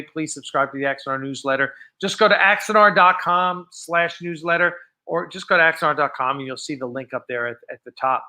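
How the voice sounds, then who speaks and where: An American man hosting a casual live fan show, chatting off the cuff in a rambling, halting way that often trails off. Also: high voice, bright, forceful tone. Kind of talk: casual unscripted livestream chat.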